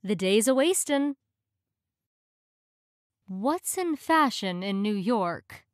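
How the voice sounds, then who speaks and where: A woman reading short English practice phrases in a clear, measured way, each one said twice. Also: mid-range voice, expressive, no room sound, clean studio sound.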